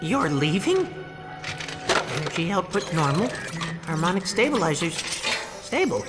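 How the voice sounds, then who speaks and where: A game's eager junior robot minding machinery in a huge ringing chamber, voice high and bouncing all over. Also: measured pace. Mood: anxious. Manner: excitable, earnest. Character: nervous, eager little male robot caretaker. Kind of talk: video game cutscene acting. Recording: echoey hall, loud music behind.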